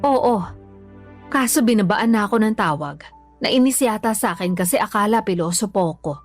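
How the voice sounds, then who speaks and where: A woman's voice: medium pitch, animated, moderate pace, lightly acted. Long-suffering mother, female actor, Filipino accent, mid-range, pleading concern, radio drama with music under. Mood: troubled. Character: worried, patient, long-suffering mother and wife.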